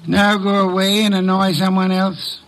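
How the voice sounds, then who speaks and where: A grumpy, pompous detective who hates to stir, from an old radio mystery. His voice sits fairly high and creaks with gravel, every phrase dry and put-upon. The recording is old and noisy. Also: completely flat delivery, moderate pace, a bit bright. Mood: curt, absorbed. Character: fussy, grumpy, indolent detective who dotes on orchids. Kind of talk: old-time radio drama acting.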